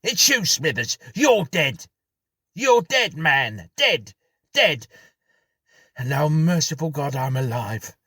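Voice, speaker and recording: A man voicing a panicked, hysterical man in a ghost story, shouting. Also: fairly high pitch, sing-song, takes it slowly, slightly rough, no echo, studio quality, voice pushed up, heavily acted.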